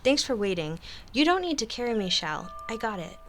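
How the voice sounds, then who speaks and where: A woman's voice: a little high, very animated, fairly quick, fairly bright tone, slight background noise. A woman playing an eager, impulsive young alien scribe, curious and pleading, in a radio drama. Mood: busy, distracted.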